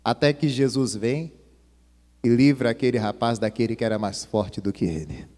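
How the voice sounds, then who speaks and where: A man's voice: mid-range voice, normal speed, a little mellow. A male pastor preaching an impassioned sermon, his voice swelling and dropping. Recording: long hall reverb.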